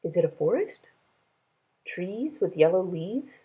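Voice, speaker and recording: A woman's voice: on the low side, very animated, a little slow, breathy edge, phone-quality sound, full character voice. A woman voicing a determined, practical young girl adventurer in a storybook, direct and earnest. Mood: curious.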